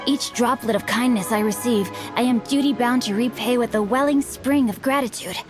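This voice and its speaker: A woman voicing a bookish, chivalrous young gentleman swordsman in a video game, composed, courteous and flowery in speech. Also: fairly high pitch, normal speed, over music, natural voice. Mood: lofty. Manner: flowery, formal, courteous, evasive.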